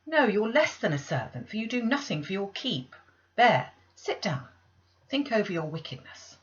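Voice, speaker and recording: A woman's voice: mid-range voice, sing-song, moderate pace, fairly bright tone, slightly rough, muffled recording, voice pushed up, heavily acted. A woman voicing a stern, pious lady's maid in a classic novel, sharply reproachful and moralising. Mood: scandalised, scornful.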